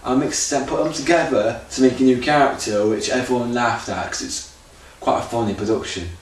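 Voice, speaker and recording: A man's voice: mid-range voice, a little fast. A man talking off the cuff in an unscripted audition tape, rambling with loose grammar and restarts. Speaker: auditioning actor. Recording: very echoey room, far from mic, faint noise.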